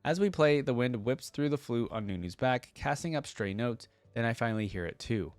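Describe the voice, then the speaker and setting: A man's voice: medium pitch, animated, fairly quick, no echo. A young adult man hosting a reaction video, reading a story aloud and adding casual, informal commentary.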